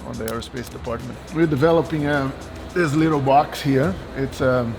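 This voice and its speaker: A man's voice: mid-range voice, moderate pace, music in the background. A man from Brazil with a Brazilian accent, an aerospace professor and pilot explaining things casually.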